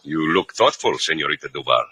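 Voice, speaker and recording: Courtly, sharp-voiced inspector. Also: male voice, extremely low pitch, lively intonation, brisk, husky, no echo, faint music behind, exaggerated voice. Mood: wry, probing. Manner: florid, formal, mock-polite. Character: courtly, sardonic male Cuban police inspector. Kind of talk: 1950s radio drama acting.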